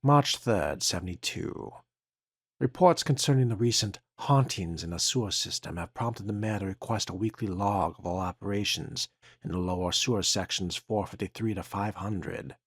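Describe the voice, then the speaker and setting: A man voicing a formal, skeptical 1970s city sewer official reading out his log in a measured, dramatic way for a game. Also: sits low, husky, dry recording, studio quality. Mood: dry, dismissive.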